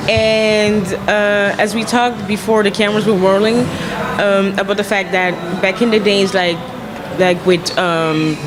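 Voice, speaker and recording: A woman's voice: medium pitch, level tone, unhurried. A young woman from Ghana talking casually and informally as a guest in a panel discussion. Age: young adult. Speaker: guest, PR agent. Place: glass-fronted cafe, indoors at a table. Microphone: shared handheld interview microphone. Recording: clear background noise of busy venue.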